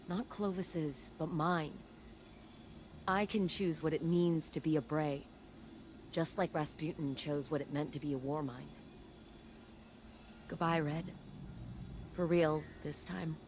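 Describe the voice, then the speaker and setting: An actress playing a grieving but resolute sci-fi heroine, speaking in a reflective, measured, earnest way in a video game. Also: female voice, low-pitched, fairly even intonation, darkish tone, slight background noise. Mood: quietly resolute. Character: grieving, resolute adult woman, sci-fi heir of a troubled family. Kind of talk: scripted dramatic game dialogue and narration.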